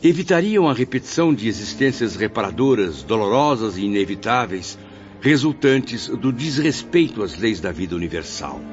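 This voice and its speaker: An eager apprentice spirit, reasoning aloud in formal theatrical phrases. His lowish voice rises and falls strongly, on a dull radio drama recording. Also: sing-song, normal speed. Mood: earnest, reflective. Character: earnest inquiring male spirit apprentice. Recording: muffled radio drama recording, faint music.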